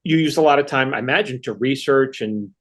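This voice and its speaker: A man's voice: mid-range voice, a little fast. A man hosting a podcast, asking eager, enthusiastic questions and often restarting his sentences. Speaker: podcast host, interviewer. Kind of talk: casual interview chat.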